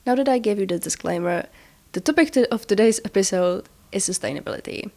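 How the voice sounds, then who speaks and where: A woman's voice: medium pitch, expressive, fast, gravelly, dark tone. A woman hosting a podcast in a casual, explanatory style while she has a cold, informal and often rewording herself as she goes. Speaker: podcast host.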